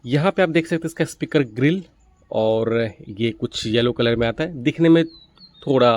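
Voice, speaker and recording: A man's voice: mid-range voice, normal speed, a little mellow. Man presenting a methodical product review. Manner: methodical, step-by-step explaining. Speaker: gadget reviewer, video host. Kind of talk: product review walkthrough, explanatory.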